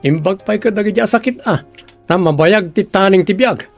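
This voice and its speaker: A man's voice: high-pitched, moderate pace, soft background music. A man with an Ilocano accent playing a relative of a sick young man in a radio drama. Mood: teasing. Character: male family member of a sick young man, by turns teasing and patient.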